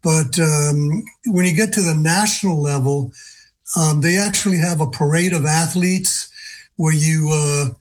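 A man of seventy-two answering as an interview guest in a conversational, halting way, often pausing as he goes. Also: a little high. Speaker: interview guest, senior games athlete.

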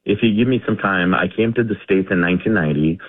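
A man from India in his forties calling into a sports radio show, rambling and repeating himself excitedly. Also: low voice, fast. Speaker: caller. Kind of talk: call-in radio chat. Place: home garage. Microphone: phone. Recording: tinny phone line.

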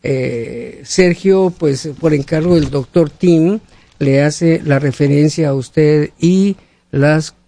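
A man's voice: a little high, slow pace, vocal fry, mellow, no room sound. A man hosting a radio show, chatting casually, laughing often and correcting himself. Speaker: radio host.

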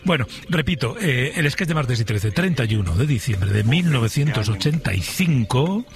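A man's voice: mid-range voice, sing-song, normal speed. A man hosting a radio quiz, bantering and chuckling as he goes. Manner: jokey, chuckling. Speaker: radio show host. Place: radio studio.

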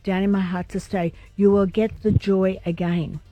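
A woman, croaky from a vocal cord procedure, giving brisk, chatty psychic readings on live radio. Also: mid-range voice, little pitch movement, mellow, dull audio, faint music behind.

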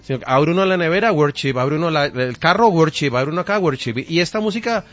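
A man's voice: fairly high pitch, animated, fast talker, a bit bright. A man hosting a radio interview, chatty, joking and enthusiastic. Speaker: radio host. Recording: slightly muffled radio studio.

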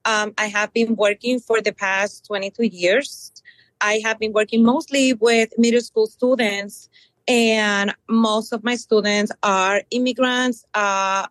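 Earnest middle-aged teacher from Puerto Rico. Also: female voice, medium pitch, fairly even intonation, fairly bright tone, no room sound, poor audio. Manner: earnest, many ums and pauses. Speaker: middle school bilingual teacher. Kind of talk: interview answers.

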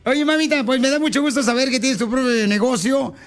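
A man's voice: very high-pitched, brisk, soft background music. A man hosting a radio talk show, teasing his caller playfully and calling her pet names. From Mexico (Ocotlán).

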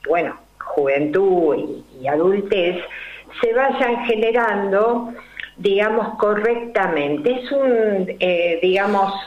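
An older woman with a Rioplatense Spanish accent, a numerology guest explaining her ideas slowly and deliberately, full of fillers and rhetorical questions. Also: mid-range voice, expressive. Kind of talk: explanatory interview talk. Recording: roomy, dull lo-fi recording.

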